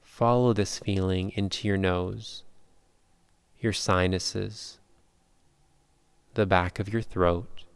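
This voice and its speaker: A man leading a guided yoga nidra meditation in calm, measured, unhurried instructions with long pauses. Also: sits low, creaky, clear, resonant tone.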